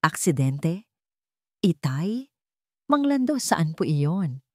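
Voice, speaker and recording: Narrator lady doing a calm determined filipino woman boss, polite, dry sound, audio story. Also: deep voice, slow pace, dry recording, full character voice. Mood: shaken. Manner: calm, courteous. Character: determined young woman chairperson, polite and composed.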